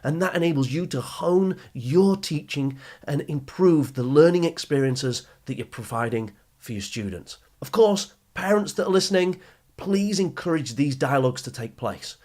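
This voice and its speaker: A man's voice: fairly high pitch, lively intonation, moderate pace, a little mellow. A British man hosting a motivational advice podcast, speaking directly to listeners in an emphatic, explanatory manner with rhetorical questions and repetition. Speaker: podcast host and study skills coach.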